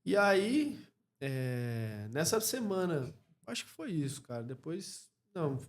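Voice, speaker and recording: A Brazilian man speaking as a podcast guest in a casual interview, reflective and halting, often checking in with short tag questions. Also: fairly high pitch, sing-song. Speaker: podcast guest, clothing store co-founder.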